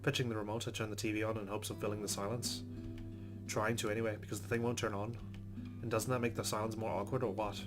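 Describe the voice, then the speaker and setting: Man, low voice, let's-play narrator, reading story text aloud, casual asides, music behind. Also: flat delivery, quick pace. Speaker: let's-play host reading a visual novel. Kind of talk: narrated game playthrough with casual commentary.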